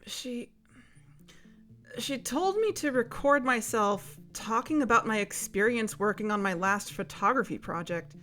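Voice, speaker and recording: An actress playing a worried, guarded amateur investigator, speaking hesitantly in a naturalistic audio drama. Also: female voice, on the high side, lively intonation, a little slow, a bit bright, soft background music.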